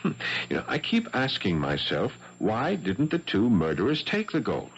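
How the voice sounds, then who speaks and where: An actor playing an earnest, big young French policeman, husky and perplexed, in a 1970s radio drama. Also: lowish voice, wildly swooping pitch, normal speed, full character voice. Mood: grim, puzzled. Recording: dull 1970s radio broadcast.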